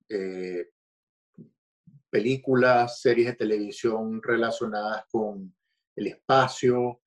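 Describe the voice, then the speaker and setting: A man from Venezuela with a Venezuelan accent, a guest author being interviewed at home, talking in a relaxed, hesitant way. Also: mid-range voice, dull audio. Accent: Venezuelan Spanish. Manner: relaxed, hesitant, with many fillers and restarts. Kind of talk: podcast interview.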